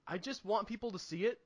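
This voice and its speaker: A man co-hosting a podcast, casually discussing films in an animated, emphatic and opinionated way. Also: sits high. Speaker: podcast co-host reviewing films.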